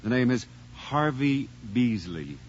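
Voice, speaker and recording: An actor playing a bitter, scheming husband in a 1980s radio horror drama, with a theatrical, sardonic delivery. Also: male voice, mid-range voice, very animated, slow, over loud music. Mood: feigned cheer. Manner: theatrical, sardonic muttering to himself. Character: bitter, scheming husband plotting a gleeful deadly revenge. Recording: dull, noisy 1980s radio recording.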